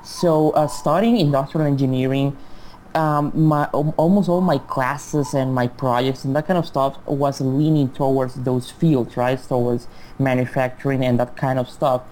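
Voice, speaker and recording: A man's voice: mid-range voice, fairly even intonation, normal speed, darkish tone, slight background noise, rough recording. A man in his twenties from Mexico, a native Spanish speaker with a Mexican accent, answering podcast interview questions politely and hesitantly, with frequent restarts. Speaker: game studio guest.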